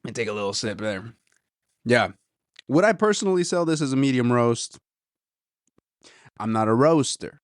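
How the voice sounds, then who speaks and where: A man's voice: medium pitch, expressive, normal speed, long pauses, no echo, studio quality. A man hosting a podcast, chatting casually and rambling as he reviews a coffee, a little nasal, saying "dude" and "you know" often. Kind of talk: casual solo chat.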